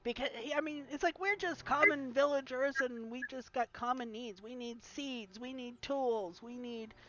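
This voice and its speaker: A woman's voice: high voice, normal speed, a bit bright, highs cut off. A woman voicing a gossipy common villager who rambles, scoffs and trails off mid-sentence. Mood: grumbling gossip.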